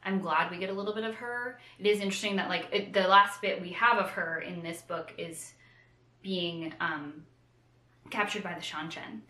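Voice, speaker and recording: Bright-voiced woman in a roomy echo. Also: lowish voice, normal speed. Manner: animated retelling with playful slangy asides. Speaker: co-host of a book discussion show. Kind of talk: casual book chat.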